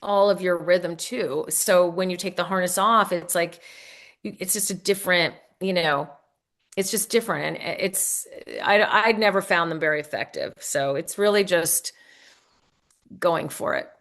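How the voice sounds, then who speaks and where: A woman's voice: fairly low pitch, moderate pace, a bit bright. A woman author speaking as an interview guest, explaining in a casual, rambling way with self-corrections.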